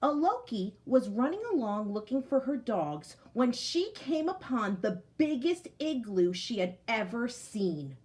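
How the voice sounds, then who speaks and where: Slow, lively woman reading a storybook. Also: fairly high pitch. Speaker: teacher reading aloud. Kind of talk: storybook read-aloud.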